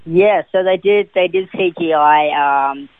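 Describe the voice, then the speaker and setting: A man's voice: a little high, unhurried, no room sound. A young man in his twenties with a New Zealand accent, a guest answering interview questions hesitantly with many fillers and restarts. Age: 20s. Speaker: guest actor. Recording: tinny, dry phone line.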